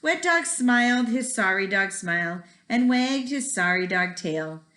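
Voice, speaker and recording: A woman's voice: medium pitch, lively intonation, measured pace, pushed and bright. A woman reading a children's picture book aloud expressively, with a rhythmic delivery that draws out repeated words. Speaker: read-aloud storyteller. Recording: echoey room.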